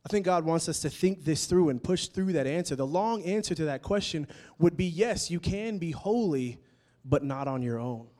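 A young man preaching a casual, animated sermon, joking with his listeners as he explains a word from the Bible. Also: on the high side, moderate pace. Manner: conversational and animated, jokes with the crowd.

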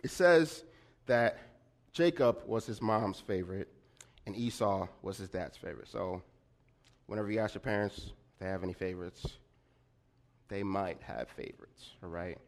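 A man telling a personal story in a sermon, with a slightly nasal voice and animated delivery, often checking in with his listeners. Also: moderate pace, long pauses. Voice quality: slightly nasal and deeper than usual. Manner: animated storyteller with frequent "right?" check-ins. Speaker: preacher.

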